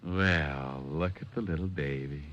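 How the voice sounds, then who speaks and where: A man playing a breezy, teasing, romantic private detective in old-time radio drama, with a playful, smooth-talking manner. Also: very deep voice, a little slow, a bit bright, dull audio, slight background noise. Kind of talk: 1940s-50s radio acting with crooned singing. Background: music.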